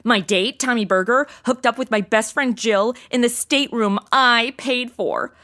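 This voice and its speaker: A woman's voice: on the high side, unhurried, sharp tone. An actress playing a brash, flippant, clueless young modern party woman with slangy talk in a comedic audio drama. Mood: sardonic.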